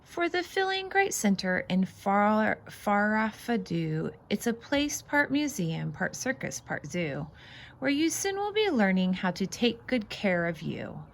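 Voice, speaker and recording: A woman reading a children's picture book aloud as host, expressive and sing-song, stressing the rhymes and adding casual asides. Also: medium pitch, measured pace, creaky, slight background noise.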